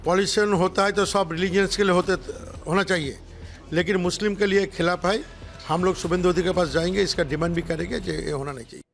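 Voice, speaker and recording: Guy with a high creaky voice making a statement to the news. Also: fairly even intonation, fast talker. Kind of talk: news sound bite.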